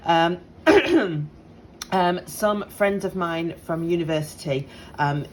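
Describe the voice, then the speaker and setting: Slightly croaky British woman, chatty vlog. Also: on the low side, lively intonation, takes it slowly, poor audio. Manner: chatty, apologetic, many ums and restarts. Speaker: budgeting vlogger. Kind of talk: casual direct-to-camera update. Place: home on a Sunday morning. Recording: faint appliance hum behind. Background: dishwasher and washing machine running.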